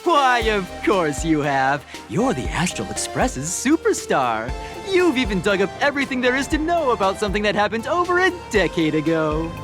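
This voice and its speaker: A gushing, pious recruiter for a smiling cult, voiced by a woman in a game, her lively pitch rising and falling as she preaches. Her sugary, theatrical sincerity never lets up. Game music plays clearly beneath her. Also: moderate pace, hardly any pauses, lightly acted. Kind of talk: game dialogue voice acting. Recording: studio voice with game music behind.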